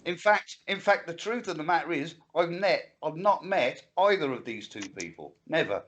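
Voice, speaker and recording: A sixty-six-year-old man with a slightly high, very lively voice that swings up and down. He co-hosts a casual livestream, full of crude jokes and banter. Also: normal speed, lo-fi sound. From England. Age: 60s. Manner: joking, crude banter, animated. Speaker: livestream co-host. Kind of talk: casual chat.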